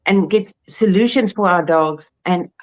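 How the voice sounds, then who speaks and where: A woman's voice: lowish voice, a little slow. A woman, a podcast host and pet nutritionist, talking in an emphatic, earnest way. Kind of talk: casual podcast chat.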